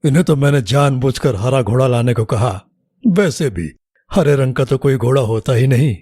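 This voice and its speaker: A commanding, sly king from a children's cartoon fable, acted by a man. His voice is mellow and husky with a very lively swing, recorded dry and close. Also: mid-range voice, normal speed, dry recording. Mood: scheming, musing. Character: male king, approving yet slyly testing his minister. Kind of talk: animated children's moral story dialogue.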